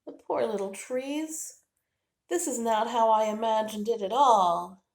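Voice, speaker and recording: A woman voicing a small, excitable and tender squirrel in a children's storybook, with expressive, exclamatory delivery. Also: a little high, unhurried, creaky, pitched above the performer's own voice. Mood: wistful. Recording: roomy, echoing indoor sound.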